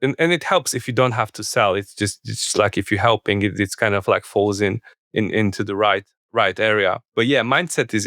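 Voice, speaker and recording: A man with a Polish accent, speaking English as a second language as a podcast guest, halting with many restarts. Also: lowish voice, a bit bright, no echo, clean studio sound. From Poland. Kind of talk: podcast interview.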